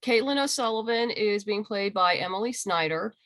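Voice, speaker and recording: A woman's voice: medium pitch, moderate pace, a bit bright. A woman being interviewed as a writer and creator, talking casually and enthusiastically on a video call. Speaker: interviewed comic book writer and audio drama creator.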